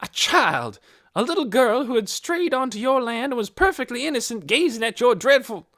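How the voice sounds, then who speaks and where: A woman's voice: on the high side, very animated, moderate pace, a bit bright, no echo, studio quality, voice pushed up. A reader voicing an outraged woman who speaks in a breathless, quivering voice in an audiobook. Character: outraged busybody older woman, breathless and shrill. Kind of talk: audiobook character voice.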